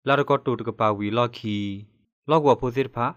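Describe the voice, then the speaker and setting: A man's voice: medium pitch, brisk, a bit bright, smooth, no echo, lo-fi sound. Dry-sounding man reading radio news. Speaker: news presenter.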